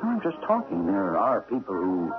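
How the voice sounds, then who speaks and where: A man's voice: deep voice, wildly swooping pitch, normal speed. An actor playing a desperate, ruined investment man in a radio drama, pleading in a theatrical way. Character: desperate ruined male investment expert gambling away clients' money. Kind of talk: 1970s radio drama acting. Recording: muffled old radio recording, loud music.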